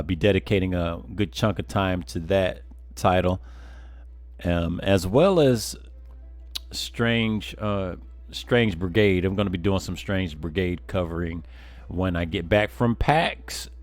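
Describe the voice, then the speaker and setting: A man's voice: low voice, a little slow, no echo. A man live streaming a game, chatting casually with viewers in a folksy, rambling way, often repeating words.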